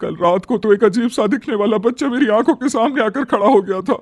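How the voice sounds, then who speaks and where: Man voicing an anxious, high-pitched village aunt. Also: fairly quick, no echo. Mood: alarmed, pleading. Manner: urgent, pleading. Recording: background music under the voice.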